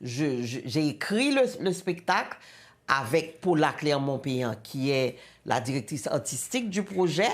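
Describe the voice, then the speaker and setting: A woman's voice: low voice, big pitch swings, unhurried. A middle-aged woman with a Haitian accent explaining her work as a guest in a conversational interview. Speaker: show creator, interview guest.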